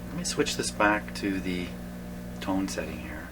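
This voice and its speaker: A man's voice: low-pitched, animated, a little slow. A man thinking aloud in short, musing fragments as he gives a casual hands-on demonstration as a repair hobbyist. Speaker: vintage radio repair hobbyist and video host. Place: indoor radio workshop. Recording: loud background hum and radio noise.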